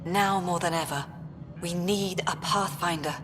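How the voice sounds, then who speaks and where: An actress playing a stern, worried colonial official in a video game. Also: female voice, on the low side, fairly even intonation, measured pace, noisy background, lightly acted. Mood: grim, urgent. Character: stern, worried colonial affairs director, woman. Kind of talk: video game cutscene acting. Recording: reverberant hall with music behind.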